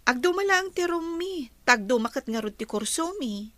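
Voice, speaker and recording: A young Ilocano college student in a radio drama. She has a high voice and a gentle, sympathetic manner. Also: expressive, moderate pace, no echo. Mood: hesitant. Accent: Filipino, Ilocano.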